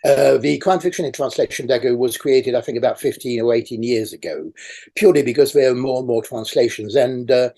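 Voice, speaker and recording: A man's voice: mid-range voice, vocal fry, mellow, slightly rough. An older man, a native French speaker, answering interview questions over a video call in a rambling way with many fillers. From raised in France. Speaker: awards chair interviewee. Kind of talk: conversational interview.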